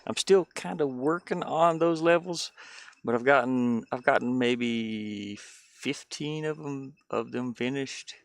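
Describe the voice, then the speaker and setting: A man's voice: medium pitch, gravelly, no room sound. A man chatting casually on a livestream as he shows his own game in development, easygoing and rambling, often trailing off. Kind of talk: casual livestream chat while demoing a game.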